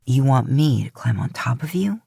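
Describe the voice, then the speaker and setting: A woman voicing a gruff, affectionate adult man, a teasing big brother, in an audiobook story. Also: a bass voice, lively intonation, moderate pace, mellow, no room sound, clean studio sound, voice pushed down, full character voice. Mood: casual, caring. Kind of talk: acted dialogue in fan fiction audiobook.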